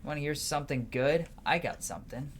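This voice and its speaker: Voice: medium pitch, brisk, lightly acted. A game player voicing a jaded, sweary island delinquent with a troubled past, in a blunt, sardonic, rambling way. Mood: conspiratorial. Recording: clearly noisy background.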